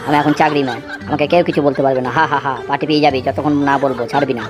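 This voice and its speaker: A man's voice: medium pitch, fairly even intonation, very fast, natural voice. A man voicing a boastful village husband in a comedy cartoon, swaggering and giving orders. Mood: gloating. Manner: swaggering, imperious. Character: boastful village husband lording over his wife. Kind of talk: Bengali comedy cartoon dialogue.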